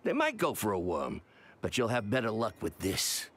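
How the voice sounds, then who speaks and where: A man's voice: lowish voice, huge pitch swings, normal speed, a bit bright, breathy edge, heavily acted. An actor playing a friendly, folksy old fisherman in a video game.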